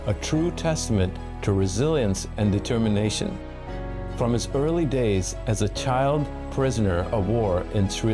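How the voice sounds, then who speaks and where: A man from Sri Lanka hosting a podcast interview, giving measured, earnest introductions. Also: lowish voice. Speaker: podcast host.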